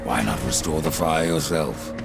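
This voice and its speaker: Very deep gravelly grim reaper horseman. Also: moderate pace. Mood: curt, grim. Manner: curt, dry, sardonic. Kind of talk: video game character acting. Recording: loud game music behind.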